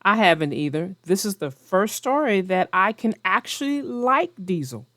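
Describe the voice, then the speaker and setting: A woman's voice: medium pitch, sing-song, moderate pace, a bit bright, dry recording, studio quality. A woman narrator and host reading and chatting theatrically for young children, addressing her young readers directly. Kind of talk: expressive read-aloud and chat for young children.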